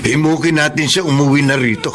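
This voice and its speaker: An actor playing a gentle, forgiving middle-aged rural father in a Filipino radio drama, with a Filipino accent. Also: fairly high pitch, steady tone, a little fast, lightly acted. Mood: warm, forgiving.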